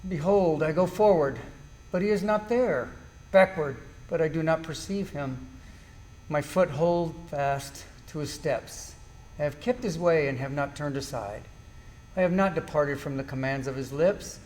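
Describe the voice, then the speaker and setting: A man's voice: fairly high pitch, measured pace, a little mellow, close to an everyday voice. An amateur actor, a man, playing a suffering, bitter but steadfast man in a solemn, declamatory Bible drama reading. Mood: anguished, steadfast. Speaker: church class amateur actor.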